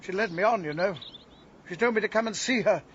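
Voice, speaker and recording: An actor playing an aggrieved, vengeful middle-aged gardener and scoutmaster in a radio drama, with a wounded manner. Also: male voice, sits high, close to an everyday voice. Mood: bitter, wounded. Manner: wounded, bitter, deliberate. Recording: dull sound, faint background noise.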